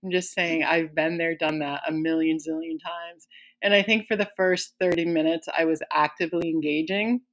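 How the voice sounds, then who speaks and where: A woman's voice: fairly even intonation, normal speed. A woman co-hosting a podcast in casual conversation, opinionated and often laughing as she talks. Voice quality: deep voice for a woman. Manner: opinionated, laughs while talking. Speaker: podcast co-host.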